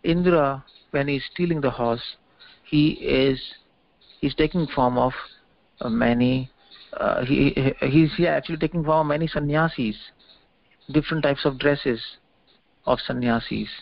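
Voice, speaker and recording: A man with an Indian accent giving an extempore religious lecture on scripture, haltingly, repeating and restarting phrases as he explains. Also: medium pitch, creaky. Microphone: conference call line. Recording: dull lo-fi call audio, faint noise, clicks.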